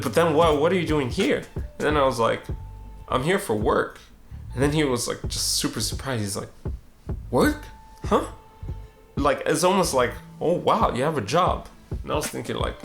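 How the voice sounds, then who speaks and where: A young man tells a story from his own life in a relaxed, conversational way, with a gravelly edge to his voice. He slips into the voices of the people he met as he goes. Faint music plays underneath. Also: on the high side, moderate pace, pauses often. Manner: casual, conversational, acts out the dialogue. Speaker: street photographer and vlogger. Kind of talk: reflective storytelling narration.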